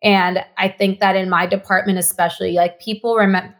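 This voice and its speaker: A woman's voice: mid-range voice, level tone, a little fast, a bit bright. A woman from Mexico whose first language is Spanish, talking earnestly as a podcast guest and often restarting and repeating phrases for emphasis. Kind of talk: casual podcast conversation.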